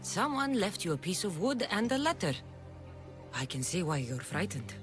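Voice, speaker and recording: A woman's voice: lowish voice, sing-song, normal speed. A wry, confident mercenary heroine for a dramatic video game. Her delivery is teasing and self-assured, with very varied intonation over faint music. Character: wry, confident, skeptical female ancient Greek mercenary heroine.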